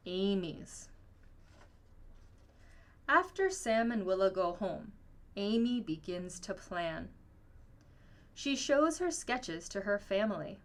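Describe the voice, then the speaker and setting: A woman reading a children's picture book aloud in an expressive, storytelling manner. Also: mid-range voice. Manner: expressive, acts out dialogue. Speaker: storybook reader.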